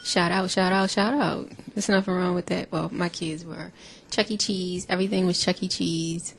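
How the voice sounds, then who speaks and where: A woman's voice: on the low side, steady tone, normal speed, vocal fry, slight background noise. An American woman in her forties hosting a casual radio talk show, chatting playfully and repeating phrases for emphasis. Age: 40s. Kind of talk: casual chat. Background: music.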